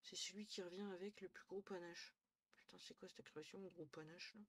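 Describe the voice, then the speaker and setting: A young woman streaming from a small room at home, talking into her headset. She is tired and chatty, thinks out loud, swears lightly and often trails off into low murmurs. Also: fairly even intonation, fairly quick. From northern France. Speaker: Twitch streamer. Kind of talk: casual live-stream chat with viewers.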